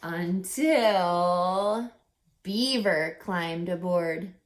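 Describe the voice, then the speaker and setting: A woman reading a picture book aloud like a teacher, deliberate and instructive, with dramatic pauses and questions for young listeners. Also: lowish voice.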